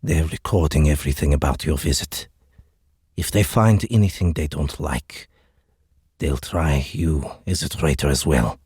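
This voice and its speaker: A man voicing a grave, weary alien soldier who speaks softly and solemnly in an audiobook. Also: rumbling low, unhurried, slightly rough, no room sound, studio quality, heavily acted. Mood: grave, resigned. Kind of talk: audiobook character voice.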